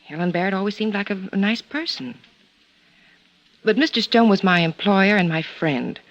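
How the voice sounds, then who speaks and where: A man's voice: high-pitched, expressive, normal speed, a bit bright. A man voicing a prim, nervous female secretary in a 1950s radio drama, speaking in a hesitant, careful way. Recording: dull old radio recording with hiss.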